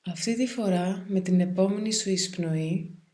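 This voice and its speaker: A woman guides a meditation in a calm, soothing, measured way, leaving pauses between phrases. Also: medium pitch. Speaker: yoga nidra meditation guide.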